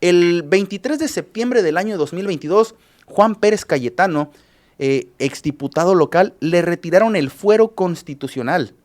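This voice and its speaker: A man speaks with a Mexican Spanish accent, his voice sitting a little high for a man. He talks as a journalist guest on a radio news show, pausing often with filler sounds and restarting his sentences. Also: animated, a little mellow. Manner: halting, restarts with filler sounds, recapping cases.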